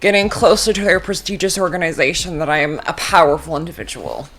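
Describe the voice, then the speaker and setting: A woman's voice: fairly low pitch, quick pace, fairly bright tone. Scratchy-voiced woman with dramatic gripes. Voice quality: scratchy when nervous. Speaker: podcast co-host. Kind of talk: casual group chat.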